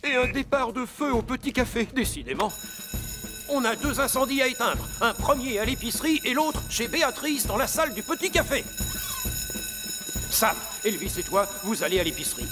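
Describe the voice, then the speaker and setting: Fire chief, orderly, high voice, lively, music under. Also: male voice, normal speed, low-quality recording. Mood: commanding. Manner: commanding, organized. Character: steady grown man fire chief.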